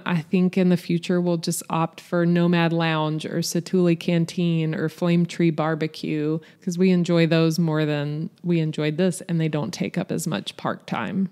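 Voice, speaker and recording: A woman's voice: fairly low pitch, fairly even intonation, unhurried, hardly any pauses, warm tone, clean tone. A woman giving a scripted, matter-of-fact voice-over review of a restaurant meal. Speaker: vlog host, restaurant reviewer.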